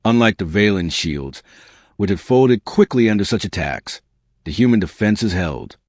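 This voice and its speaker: Guy narrating a sci-fi audiobook, pretty lively pitch, measured and dramatic, dry recording. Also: no room sound. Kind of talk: scripted narration of a sci-fi story.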